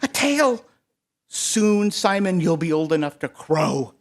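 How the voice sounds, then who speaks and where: A storyteller voicing an excitable little lamb from a storybook, squealing and loud. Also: sits high, a little slow, voice pushed up, heavily acted. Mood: thrilled.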